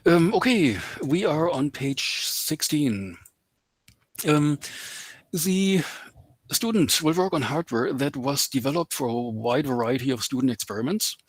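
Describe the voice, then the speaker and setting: A man giving a guest lecture over a video call, speaking English as a second language, filling pauses with lots of ums. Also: a little high, animated, no room sound. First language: not English. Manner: hesitant, many ums and uhs. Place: home in Canada.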